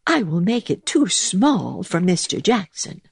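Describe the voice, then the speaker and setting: A woman's voice: lowish voice, sing-song, unhurried, gravelly, husky, dry recording, voice pushed up, full character voice. A woman voicing a fussy, tidy little female mouse who talks in a prim, flustered way. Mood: prim, fretful.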